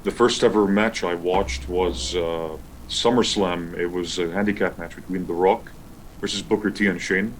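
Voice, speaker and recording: A man from Lebanon, a pro wrestler and wrestling school founder, answering interview questions in an easygoing, steady way. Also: deep voice, normal speed, slight background noise.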